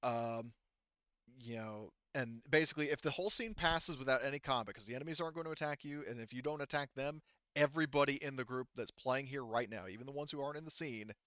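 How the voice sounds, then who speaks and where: A man narrating a tabletop roleplaying game as game master, explaining things in a halting way. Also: medium pitch, a bit bright, no echo, lo-fi sound.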